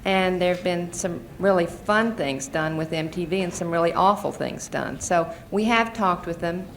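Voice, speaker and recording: An American woman in middle age speaks as a witness at a formal hearing. Her voice is on the low side and her delivery is earnest and explanatory. The archival hearing-room recording has faint noise. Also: normal speed. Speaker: advocacy group witness and mother. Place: Senate committee hearing room.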